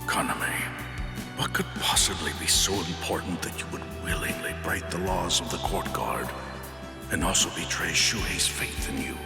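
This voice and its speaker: An actor playing an honorable, loyal warrior captain in an anime game, speaking in a grave, formal, earnest and sorrowful way. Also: male voice, low voice, moderate pace, creaky, music in the background. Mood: mournful, reflective. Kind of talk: scripted anime game cutscene dialogue.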